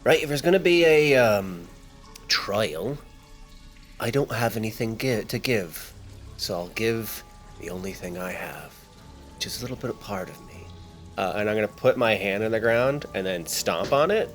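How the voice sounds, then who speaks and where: A man's voice: lowish voice, animated, normal speed, soft background music. A man chatting casually as a player in a tabletop game, improvising his character's actions. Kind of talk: casual improvised role-play chat.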